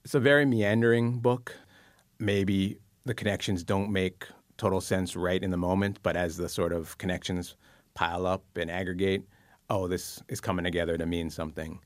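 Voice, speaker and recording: A man, a book columnist and psychotherapist, talks through his thoughts in a reflective interview, musing with pauses and fillers. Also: sits low, fairly even intonation, dry recording.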